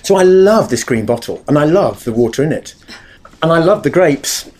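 A man's voice: a little high, expressive, moderate pace, darkish tone. An English man with a big, carrying voice, giving a chatty, self-deprecating talk to a live audience and addressing the room directly.

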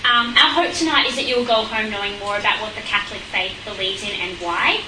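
A woman's voice: mid-range voice, quick pace. A woman hosting a church event as MC, introducing the speaker in a friendly way with frequent ums. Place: event hall with audience. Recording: echoey room, far mic, noisy.

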